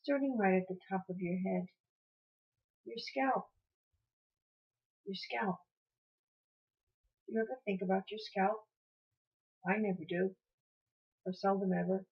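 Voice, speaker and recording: Slow-paced woman guiding a meditation. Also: mid-range voice. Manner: soothing, encouraging, repeats phrases gently. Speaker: meditation teacher. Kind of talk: guided meditation. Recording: muffled, dull lo-fi sound.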